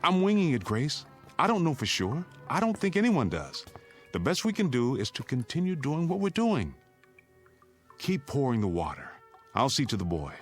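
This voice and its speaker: A man's voice: medium pitch, wildly swooping pitch, normal speed, faint music behind. An American man acting in a radio drama as an urgent, middle-aged father who takes charge and steadies his family. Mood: urgent, commanding.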